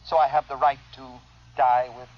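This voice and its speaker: An actor playing a dignified, courteous overlord in a 1950s radio drama, speaking in a formal and gracious manner. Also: male voice, mid-range voice, little pitch movement, normal speed, lightly acted. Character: dignified, courteous reigning overlord facing death. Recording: muffled vintage radio recording.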